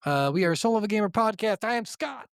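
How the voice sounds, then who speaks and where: Male co-host, slightly high-pitched, informal and profane conversational delivery, dry recording. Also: lively intonation, fast, gravelly, clean tone, dry recording. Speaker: podcast co-host. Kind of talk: casual chat.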